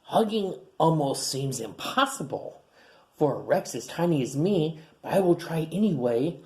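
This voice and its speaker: A man voicing a small, earnest young male dinosaur in a children's storybook read-aloud, speaking slowly and carefully. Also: on the high side, sing-song, darkish tone.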